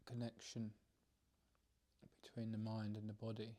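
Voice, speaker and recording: A man giving an unscripted guided meditation talk as a teacher, hesitant and measured, with long pauses. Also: fairly low pitch, monotone, dark tone.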